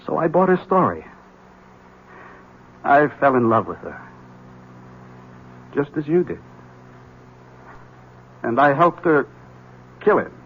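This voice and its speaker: An actor playing an agitated, desperate husband in an old-time radio drama, speaking with intense, insistent urgency. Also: male voice, a little high, very animated, slow pace, pauses often, slight background noise, full character voice. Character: agitated, desperate husband convinced his wife is a witch. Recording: dull lo-fi vintage radio recording.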